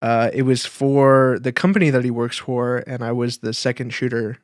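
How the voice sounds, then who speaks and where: Young man, podcast co-host. Middle-range voice, animated casual banter, very dry sound. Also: medium pitch, moderate pace, no echo. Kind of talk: casual chat.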